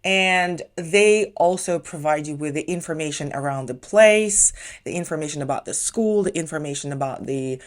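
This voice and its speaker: A woman's voice: sits low, moderate pace. A young Ukrainian woman with a Ukrainian accent, a non-native English speaker, giving chatty step-by-step advice in a casual vlog. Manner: chatty, explaining step by step with fillers. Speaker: English teacher vlogger.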